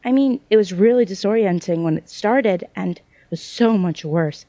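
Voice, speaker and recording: A woman playing a hesitant, self-doubting young psychic in a naturalistic audio drama, speaking in halting phrases. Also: medium pitch, dark tone, dry recording, natural voice.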